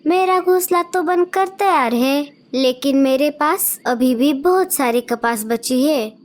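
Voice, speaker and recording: Kind female cartoon bird, very high and slow, a woman voicing her, sweet and generous. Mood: helpful. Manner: sweet, slow and eager to help. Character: kind, helpful female birds. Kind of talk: cartoon story voice acting.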